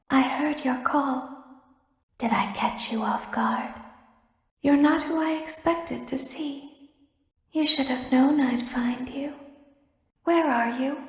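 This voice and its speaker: An actress playing an eerie, scheming childlike robot girl in a video game, with breathy, slow menace and a cavernous reverb. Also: high voice. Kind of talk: video game villain voice lines. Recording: echoey, long hall-like reverb.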